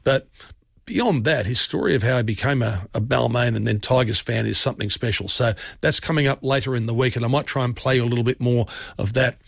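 A man with an Australian accent hosting talkback radio in a casual, rambling way, his voice scratchy and hoarse. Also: mid-range voice, brisk, no room sound, studio quality. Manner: rambling and chatty, with restarts.

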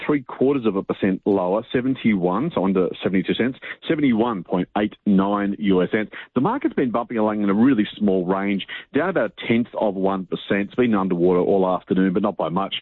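A fast-talking man gives a casual finance rundown over a tinny phone line. His voice is slightly husky and dry, and he is chatty and self-deprecating. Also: lowish voice, expressive, no room sound. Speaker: finance commentator. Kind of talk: radio finance segment.

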